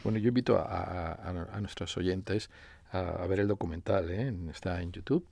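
Deep, gravelly male radio co-host. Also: normal speed, darkish tone, dry recording. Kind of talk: conversational interviewing.

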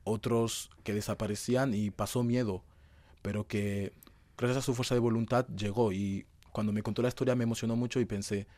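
A man's voice: on the low side, fairly even intonation, a little fast, darkish tone, no echo. A young man, giving conversational answers as an interview guest. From Madrid, Spain. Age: young adult.

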